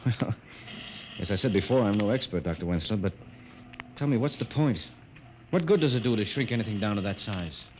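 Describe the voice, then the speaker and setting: A blunt, skeptical reporter, voiced by a man for a 1950s radio play. His voice sits a bit low and he questions everything plainly. A light hiss runs behind it. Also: expressive, fairly quick, long pauses, lightly acted, rough recording. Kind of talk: 1950s radio drama acting.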